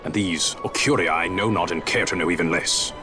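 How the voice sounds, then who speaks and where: A man voices a commanding sky pirate from a fantasy game. He is very deep, brusque and theatrical, with old-fashioned phrasing. A loud score plays behind him. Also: a little fast, music in the background, natural voice. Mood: grim, brusque. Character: gruff, blunt, commanding sky pirate fleet leader. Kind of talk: dramatic video game cutscene acting.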